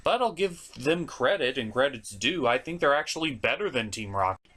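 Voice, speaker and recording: An animated man delivers scripted but informal review commentary as a show host. His medium-pitched voice swings widely in intonation. He is opinionated and chatty, often turning aside to address the viewer. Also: normal speed.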